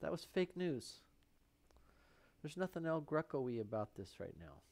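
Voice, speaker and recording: Male painter, mellow tone, lively swings in pitch, halting, self-critical, casual live-stream commentary. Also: vocal fry.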